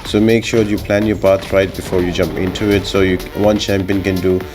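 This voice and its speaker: Guy with a lowish voice doing a game walkthrough, explaining tips casually, music playing behind him. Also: little pitch movement, a little fast. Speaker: game guide video host. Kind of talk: gameplay tips commentary, explanatory.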